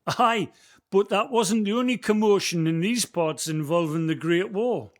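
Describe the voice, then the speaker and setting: A man's voice: high-pitched, measured pace. An actor with a Northumbrian accent playing an old local man who guides a visitor through the valley's history in a community radio play, telling stories in broad dialect. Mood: storytelling. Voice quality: folksy storyteller, broad dialect words. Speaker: guide character Billy.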